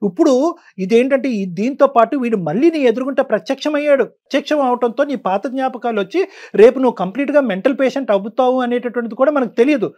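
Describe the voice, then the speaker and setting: Fast-talking, emphatic woman counsellor. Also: a little high, darkish tone. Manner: emphatic, exhorting. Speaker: counsellor on a talk show. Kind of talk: forceful counselling advice.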